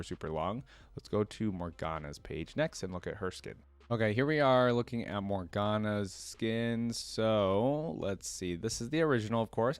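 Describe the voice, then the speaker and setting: A man's voice: fairly low pitch, dry recording. A man hosting a reaction video, commenting casually and informally, thinking aloud as he browses and reads.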